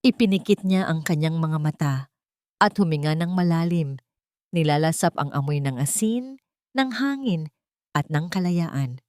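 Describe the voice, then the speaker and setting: A woman's voice: deep voice, animated, unhurried, darkish tone, dry recording, studio quality. A woman storyteller narrating a scripted romance drama earnestly and politely, addressing her listeners.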